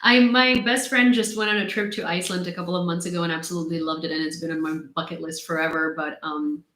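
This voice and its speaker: A young woman from Ukraine with a Ukrainian accent, casually chatting on a livestream and reading viewer comments, laughing often and filling pauses with ums. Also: fairly low pitch, steady tone, a bit bright. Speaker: livestream host. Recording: roomy echo.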